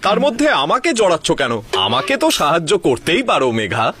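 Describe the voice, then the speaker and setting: A man's voice: a little high, wildly swooping pitch, fast talker, a crowd in the background. A man voicing a fussy, helpless middle-aged father in a cartoon, pleading and grumbling expressively. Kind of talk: cartoon dubbing.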